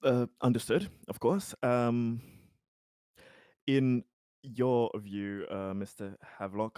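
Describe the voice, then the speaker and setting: A man playing a polite, deferential investigator in a tabletop roleplaying game, speaking formally and haltingly. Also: fairly low pitch, a little mellow, smooth, dry recording, clean studio sound. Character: polite, deferential male investigator questioning an official.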